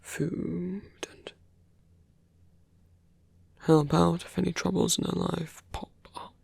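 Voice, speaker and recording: Seductive villain played by an ASMR performer: breathy, half-whispered, very creaky, taunting and menacing, intimate roleplay delivery. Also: mid-range voice, flat delivery, darkish tone, no echo, heavily acted. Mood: calm, persuasive. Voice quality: breathy, often dropping to a whisper. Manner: slow, deliberate, taunting.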